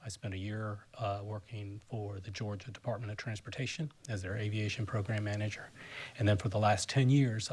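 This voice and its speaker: Casting note: man in his fifties, airport manager giving formal remarks at a council meeting, politely, a bit low-voiced. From South Carolina, US. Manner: polite, formal, with frequent restarts.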